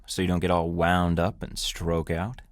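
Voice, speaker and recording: A man voicing a deep-voiced, cocky American spy. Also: monotone, moderate pace, no echo, studio quality, natural voice. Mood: threatening. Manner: wisecracking, flirty and menacing by turns. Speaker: game protagonist. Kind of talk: video game voice acting.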